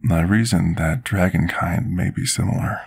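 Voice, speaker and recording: A man playing a devoted potion master in an intimate fantasy ASMR roleplay, speaking husky and gravelly, with formal, courteous, slightly hesitant deference. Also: low-pitched, normal speed, full character voice. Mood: soothing, tender.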